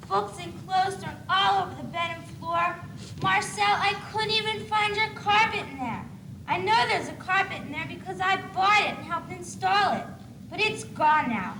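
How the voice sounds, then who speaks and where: Young woman as shrill-pitched scolding mother. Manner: sarcastic, indignant. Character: exasperated, scolding mother of a messy teenage boy. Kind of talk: amateur stage comedy monologue. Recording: echoey noisy 1980s stage videotape.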